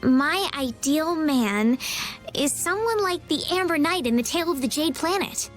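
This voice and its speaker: An actress playing a polite, earnest young maiden in a video game, with a soft, hesitant, courteous manner. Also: female voice, sits high, expressive, measured pace, soft background music. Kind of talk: video game cutscene acting.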